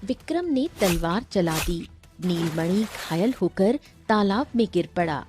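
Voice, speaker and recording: A woman's voice: fairly low pitch, animated, a little slow, over music. A woman narrating a scripted story as its storyteller.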